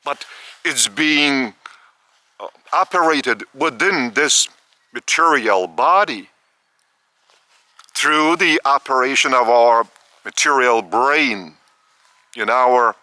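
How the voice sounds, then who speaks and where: A man from Lithuania, giving a spiritual talk outdoors in the park of an old estate, speaking slowly in short, halting phrases. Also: mid-range voice, sharp tone, no room sound. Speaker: spiritual teacher.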